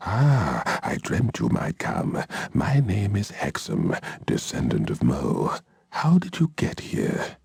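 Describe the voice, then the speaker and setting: An actor playing a solemn male mystic in a fantasy video game, speaking gravelly and haltingly in a portentous manner. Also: low-pitched, wildly swooping pitch, darkish tone, no echo, exaggerated voice. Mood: mysterious welcome. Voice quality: gravelly, husky.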